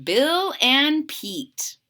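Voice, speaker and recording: A woman reading a children's storybook aloud as a kindergarten teacher, with expressive, explanatory asides to young listeners. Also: high voice, very slow, a little hiss or hum.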